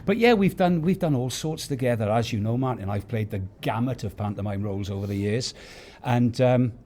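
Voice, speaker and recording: A man's voice: medium pitch, brisk, darkish tone, a little hiss or hum. A middle-aged or older man, a stage actor, giving chatty interview answers backstage. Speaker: pantomime actor, award winner. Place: backstage at an awards venue.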